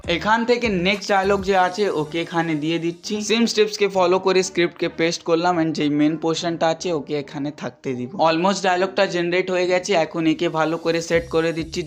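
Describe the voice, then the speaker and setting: Higher-pitched man presenting a tutorial. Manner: mixes English words into speech. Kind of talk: step-by-step software tutorial voice-over.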